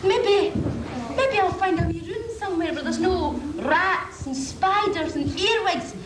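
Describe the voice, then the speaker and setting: A woman's voice: extremely high pitch, a little slow, a crowd in the background. A woman with a Scottish accent playing a cheeky, downtrodden out-of-work nursemaid in an amateur pantomime, projecting broadly from the stage. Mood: aggrieved. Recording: echoey hall stage, distant mic, noisy audience.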